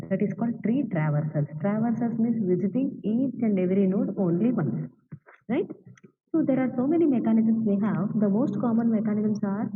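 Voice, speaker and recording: A woman with an Indian English accent teaching an online class, often asking "right?" to check that students follow. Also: medium pitch. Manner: repetitive, checks understanding with "right?". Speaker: lecturer. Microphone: video call. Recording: distant tinny online-class audio.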